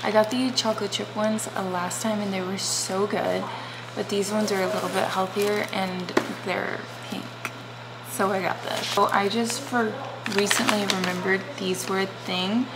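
A woman in her twenties with an American accent, chatting casually to camera at home with a creaky voice. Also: medium pitch, flat delivery, normal speed, heavy background noise. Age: 20s. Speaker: vlogger. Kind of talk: casual vlog chat to camera. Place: indoors at home, living room and kitchen.

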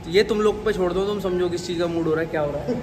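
A man's voice: high voice, rapid-fire. A man in his thirties chatting casually to a phone camera for his vlog, mixing English words into Hindi. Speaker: vlogger. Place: gym, then home, then outside a temple at night. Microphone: phone camera at arm's length. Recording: noisy phone vlog audio.

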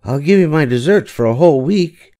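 A man's voice: on the high side, expressive, a little fast, dark tone, pitched above the performer's own voice, natural voice. A man voicing an anxious, easily flustered young boy aardvark in a children's storybook. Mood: bargaining.

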